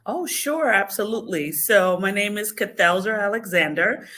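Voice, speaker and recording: A woman from the Bahamas, a podcast guest, speaking in a measured, explanatory way with frequent fillers. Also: medium pitch, animated, bright, forceful tone. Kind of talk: conversational podcast interview.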